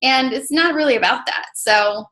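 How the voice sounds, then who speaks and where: A woman's voice: sits high, normal speed, pushed and bright. A woman from Mexico, a native Spanish speaker, talking casually as an interview guest and filling her speech with "like" and "you know". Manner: chatty, many fillers like "like" and "you know". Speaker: interview guest, coach mentor.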